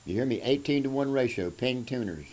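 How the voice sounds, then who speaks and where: A man, talking casually and unhurriedly in short folksy fragments as he pitches something for auction. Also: expressive, moderate pace, darkish tone. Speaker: musician presenting a guitar for a charity auction. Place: small indoor room at home. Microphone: microphone on a stand at guitar height. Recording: acoustic guitar playing around the voice. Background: acoustic guitar.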